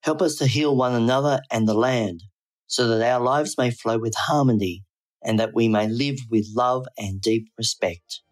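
A man's voice: medium pitch, moderate pace. A man reading a scripted acknowledgement and prayer. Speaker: prayer leader.

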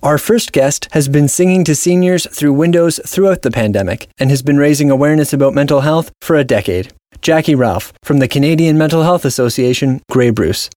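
A man's voice: on the high side, fairly quick, hardly any pauses, darkish tone, no echo, studio quality. A man hosting an audio drama and talk program, reading scripted narration. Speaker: host. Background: music.

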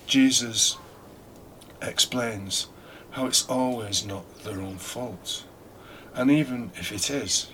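Male homilist, forceful edge, measured slow delivery full of pauses and pointed questions. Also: medium pitch, a little hiss or hum, rough recording. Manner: deliberate, with pauses and rhetorical questions. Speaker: preacher giving a scripture reflection. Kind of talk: reflective homily.

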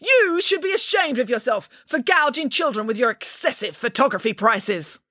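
A woman's voice: medium pitch, very animated, moderate pace, a bit bright, dry recording, clean studio sound. A woman voicing a small, fierce pig nanny who speaks grandly with haughty, theatrical outrage. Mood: outraged.

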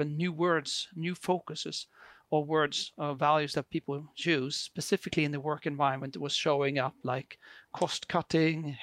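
A man's voice: on the high side, vocal fry, a bit bright, no echo. A man from Sweden with a Swedish accent, a guest explaining his work in a hesitant, filler-heavy way. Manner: hesitant, many fillers and restarts. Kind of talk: conversational explanation.

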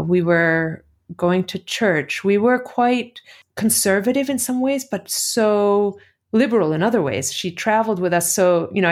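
A middle-aged woman from Greece with an American accent, telling personal stories as an interview guest. She talks conversationally and thinks aloud, correcting herself as she goes. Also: mid-range voice. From Athens, Greece. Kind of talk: reflective personal interview storytelling.

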